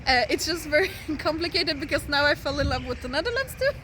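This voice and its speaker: A woman's voice: sits high, animated, fast, sharp tone. A woman in her twenties from Sweden, a native Polish speaker, chatting casually and hesitantly as an interview guest, often giggling as she searches for words. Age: 20s. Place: outdoors in a city. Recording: noisy outdoor urban street, traffic.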